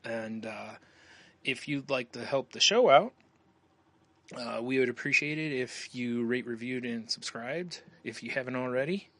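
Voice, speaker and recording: A man's voice: medium pitch, measured pace, a bit bright, no echo. An American man hosting a podcast in a casual, loose, rambling way. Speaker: podcast host. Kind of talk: casual chat, show plugs.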